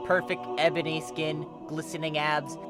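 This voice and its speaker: A man reading fan fiction aloud as narrator, in an expressive scripted storytelling style. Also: a little high, moderate pace, loud music behind the voice.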